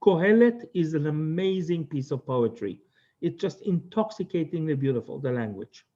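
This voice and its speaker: A man from Israel with an Israeli accent, teaching a text study class in an earnest, thoughtful way with many pauses. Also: fairly high pitch, lively intonation, mellow, dull audio. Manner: earnest, thoughtful, pausing often.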